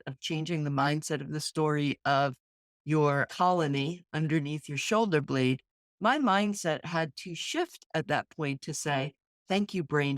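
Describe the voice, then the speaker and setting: Deep-voiced woman speaking earnestly. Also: no echo, clean studio sound. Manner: earnest, reflective storytelling. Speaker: podcast co-host. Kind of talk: casual reflective conversation.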